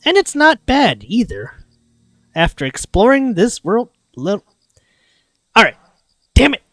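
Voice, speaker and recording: This is a livestreamer reading a story aloud with a very animated, swooping delivery. The pace is unhurried and the sound is close and dry, with clear music playing underneath. The manner is casual, with goofy asides slipped between the narration. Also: mid-range voice, no echo. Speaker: streamer reading a visual novel aloud.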